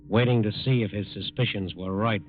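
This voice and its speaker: A man's voice: lowish voice, fairly even intonation, a little fast, lightly acted. A man acting as the grave narrator of a 1940s radio crime drama. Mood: solemn, ironic. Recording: muffled old radio recording.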